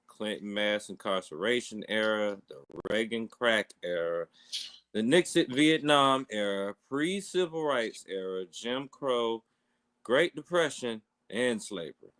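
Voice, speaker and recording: A man's voice: on the low side, expressive, a little slow, fairly bright tone. A man co-hosting a podcast, giving blunt, unbothered opinions in casual chat. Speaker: podcast co-host.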